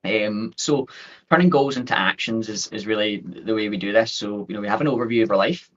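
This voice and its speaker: Scottish guy in his 30s talking quick on a video call, lowish voice, muffled audio. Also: a bit bright. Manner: quick, upbeat, many fillers and restarts. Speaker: guest speaker. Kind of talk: webinar presentation.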